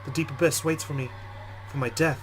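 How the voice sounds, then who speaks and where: A man's voice: on the high side, steady tone, a little fast, soft background music. A man hosting a let's play, reading a visual novel's text aloud dramatically and adding his own commentary.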